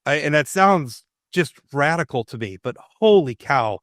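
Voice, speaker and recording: Casting: male co-host, talk show, emphatic, opinionated, slightly higher voice, dry studio mic. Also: expressive, moderate pace, a bit bright, no room sound. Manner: opinionated, emphatic, many restarts. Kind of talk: political talk show discussion.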